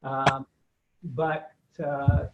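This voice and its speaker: A man from the United States telling a class a relaxed anecdote, folksy and hesitant with frequent fillers. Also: medium pitch, drawn-out words, narrow, tinny audio, slight background noise. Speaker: guest speaker.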